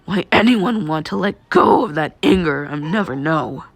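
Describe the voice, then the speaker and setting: A seething, foul-mouthed teenage boy acted by a woman in a story. He talks bluntly and forcefully, full of indignation. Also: sits low, expressive, normal speed, breathy edge, dry recording, exaggerated voice. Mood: defiant.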